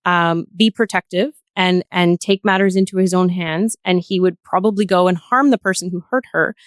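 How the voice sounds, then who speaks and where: A woman's voice: on the low side, normal speed, dry recording. Woman guest in thoughtful discussion, dry sound. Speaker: podcast guest.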